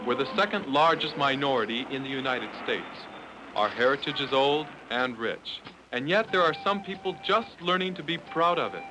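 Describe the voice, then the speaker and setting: A man's voice: mid-range voice, poor audio. Earnest man narrating in the first person. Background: guitar music.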